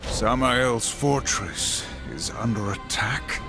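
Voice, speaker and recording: A grim, dutiful warrior horseman in a game. He speaks in a serious, formal, terse way at a middling pitch, with music behind. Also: expressive, slow. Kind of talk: video game cutscene acting.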